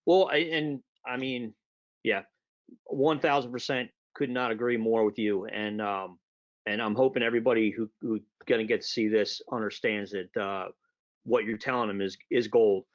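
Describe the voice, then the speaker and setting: A man hosting a podcast interview, agreeing warmly with his guest in casual, affirming talk. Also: fairly low pitch, fairly even intonation, moderate pace, dull audio. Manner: affirming, with a few fillers and restarts. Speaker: podcast host.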